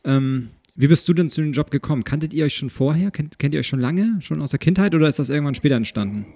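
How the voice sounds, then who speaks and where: A man's voice: fairly high pitch, fast talker, gravelly, warm tone. A man hosting a casual podcast, asking his guests loose, chatty questions. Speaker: podcast host.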